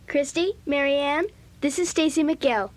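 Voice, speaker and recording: A breezy American girl in a children's audio drama. Her voice is high, and she talks in a confident, chatty way with friendly emphasis. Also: unhurried. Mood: upbeat, helpful.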